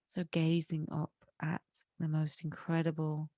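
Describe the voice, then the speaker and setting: A woman leading a guided meditation in a slow, soothing way, her voice slightly croaky from a cold. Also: low-pitched, monotone, dark tone, no room sound, studio quality. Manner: slow, soothing, drawn-out phrasing. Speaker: meditation leader.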